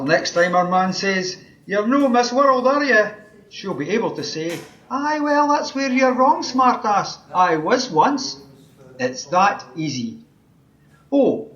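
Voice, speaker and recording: A man's voice: sits high, a bit bright. A man with a Scottish accent playing a cheeky, all-knowing magical wish-granter in an amateur radio play, chatty and teasing. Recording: roomy, dull home recording.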